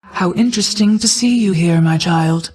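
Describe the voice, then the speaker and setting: A woman's voice: on the low side, darkish tone, close to an everyday voice, low-quality recording. Woman voicing a solemn, regal goddess of night. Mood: composed, encouraging. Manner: measured, formal, stately, deliberate. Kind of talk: scripted video game character lines.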